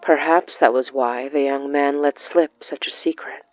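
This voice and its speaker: A narrator telling a scripted literary horror story in a measured way, with dramatic pauses between short phrases. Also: mid-range voice, steady tone, no room sound, lo-fi sound, soft background music. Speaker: narrator of a horror fiction podcast.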